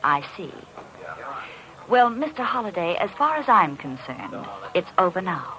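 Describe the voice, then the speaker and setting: A woman's voice: on the low side, big pitch swings, measured pace, sharp tone, heavily acted, poor audio. A woman playing a poised, coy and guarded nightclub singer in an old-time radio drama. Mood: guarded, cool. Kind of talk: 1940s radio drama acting. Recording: old radio recording with music behind.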